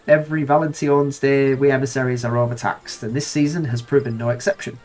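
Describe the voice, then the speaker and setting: A man's voice: medium pitch, level tone, brisk, darkish tone, soft background music, natural voice. A man with a nasal cold voicing a fussy, harried male moogle letter courier in a game, reading the lines in flowery, exasperated phrasing. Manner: flowery, exasperated read-aloud dialogue.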